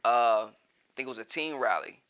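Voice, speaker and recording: A man's voice: medium pitch, animated, brisk, fairly bright tone, clean tone. A man from Columbus, Ohio, chatting easily as a guest and laughing often. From Columbus, Ohio, born in Texas. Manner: laid-back, laughs often, says "you know what I mean". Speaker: guest rapper. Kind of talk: casual interview chat.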